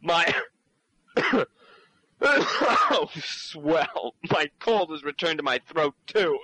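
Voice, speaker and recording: A man voicing a hot-tempered, mocking sidekick who blusters, taunts and shouts in a home-taped comedy sketch. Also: on the high side, very animated, drawn-out words, no room sound. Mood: gleeful.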